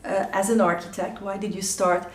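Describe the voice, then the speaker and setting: A woman's voice: medium pitch, flat delivery, normal speed. A woman hosting a podcast interview, asking questions with some hesitant filler words. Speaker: podcast host. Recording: roomy echo.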